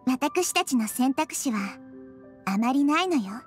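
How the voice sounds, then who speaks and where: Casting: small wise goddess child for anime game; high soft girlish voice, measured and selfless, faint music underneath. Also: animated. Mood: gentle, solemn. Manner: measured, earnest, gentle explaining. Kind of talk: Japanese anime game voice acting. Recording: studio voice over background music.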